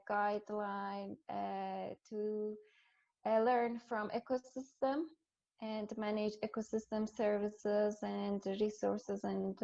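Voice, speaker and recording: A woman introduces herself on a video call, slow and measured, pausing often. Her voice is gravelly and fairly flat. The sound comes through dull and lo-fi. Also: mid-range voice. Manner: measured, with many pauses and fillers. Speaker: sustainability consultant.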